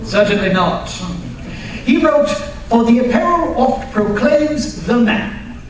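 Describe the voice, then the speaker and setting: A woman's voice: fairly high pitch, animated, takes it slowly. A woman playing a dapper, self-pleased young male archaeologist in an old-time radio sponsor spot, chatty and polished. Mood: jaunty, pleased. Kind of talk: 1940s radio sponsor advertisement acting. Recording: echoey hall from far off, faint noise.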